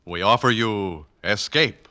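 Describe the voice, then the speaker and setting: A man's voice: on the low side, unhurried, very noisy. A man introducing a 1940s radio program as announcer, emphatic and declamatory, on an old broadcast recording.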